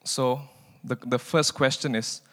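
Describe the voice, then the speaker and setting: A man from Malaysia preaches as a guest speaker. He talks in a casual, conversational way and often stumbles over the first word of a phrase. His voice sits at an ordinary pitch and has a long reverberant tail, as if he is speaking in a church hall. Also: fairly even intonation, a little slow, fairly bright tone. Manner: casual, chatty, repeats words when starting a phrase. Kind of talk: informal sermon.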